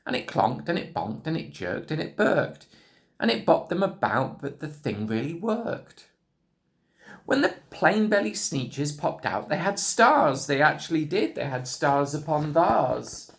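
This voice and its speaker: A man's voice: on the high side, big pitch swings, a little slow, creaky. A man reading a rhyming children's story aloud, expressively and rhythmically. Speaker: storybook reader, school staff.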